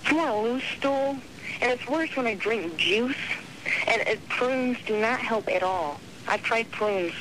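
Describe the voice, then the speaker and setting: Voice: medium pitch, expressive, unhurried, lightly acted. A prankster voicing a meek, polite woman caller, speaking hesitantly on a phone call to a radio show. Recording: slightly noisy telephone call to radio.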